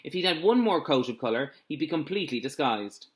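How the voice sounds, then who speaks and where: A man reading the part of a stern yet approving police inspector in a storybook, measured and emphatic. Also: a little high, expressive, natural voice. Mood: pleased, approving.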